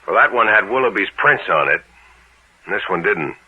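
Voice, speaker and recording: An American man playing a gruff, plain-spoken police sergeant in an old-time radio drama, talking in a casual, folksy, matter-of-fact way. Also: sits low, lively intonation, normal speed, no echo. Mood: explaining. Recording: narrow, tinny old radio recording.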